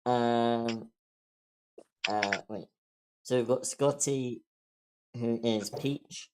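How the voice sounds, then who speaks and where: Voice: medium pitch, very slow, background noise. A person casually explaining and organizing the parts for a script reading. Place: online voice meeting.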